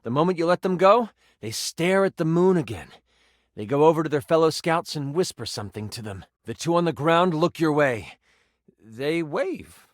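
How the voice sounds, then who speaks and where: A man's voice: on the high side, animated, moderate pace, dry recording, studio quality, natural voice. A man playing a playful game master, narrating a fantasy tabletop adventure in a theatrical, dramatic storytelling style. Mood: suspenseful. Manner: theatrical, second-person storytelling.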